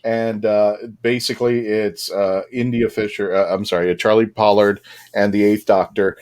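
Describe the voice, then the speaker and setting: A man's voice: on the low side, little pitch movement, normal speed, darkish tone, clean tone. Enthusiastic man hosting a casual panel chat. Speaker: podcast host on a fan panel.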